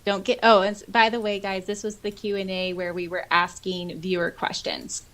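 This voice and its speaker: A woman co-hosting a podcast, expressive and bubbly as she chats casually and reads out listener comments. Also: medium pitch, moderate pace. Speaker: podcast co-host.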